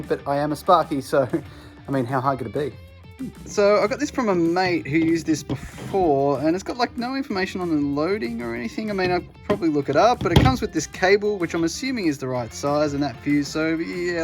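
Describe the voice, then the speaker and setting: A man in his thirties with an Australian accent, casually talking through a do-it-yourself car radio install in an offhand, self-deprecating way. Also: fairly high pitch. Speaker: electrician vlogger. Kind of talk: casual DIY vlog.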